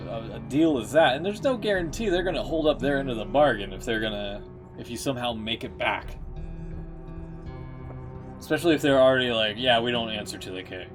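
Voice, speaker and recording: A man comments casually with a wry, skeptical edge, his pitch swinging widely. Music plays clearly behind his voice. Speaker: streamer reacting to story.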